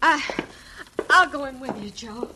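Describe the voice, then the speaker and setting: Devoted, pleading frontier wife, highish voice. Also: female voice, unhurried, background noise. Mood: anxious, pleading. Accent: American. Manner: pleading, earnest. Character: devoted, anxious wife in the Old West. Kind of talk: old-time radio western acting.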